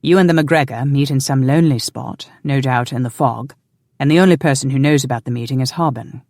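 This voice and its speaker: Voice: mid-range voice, fairly quick, a little mellow, dry recording, clean studio sound, close to an everyday voice. A narrator voicing a protective, exasperated husband who is commanding and sardonic but loving. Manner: stern, sardonic, insistent.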